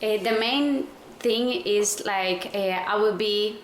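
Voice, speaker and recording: A woman's voice: mid-range voice, slow pace. A woman of twenty-eight from Costa Rica, a native Spanish speaker with a Latin American accent, giving casual interview answers. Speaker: podcast guest, missionary trainer. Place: indoor room in the US. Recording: roomy echo, faint background noise.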